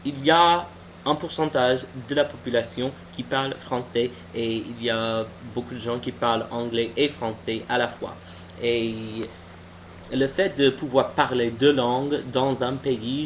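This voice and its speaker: Young man, American accent, native English speaker, halting informal monologue, mid-range pitch, clearly noisy recording. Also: steady tone. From United States. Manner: halting, searching for words. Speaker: video blogger. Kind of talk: informal opinion monologue to camera.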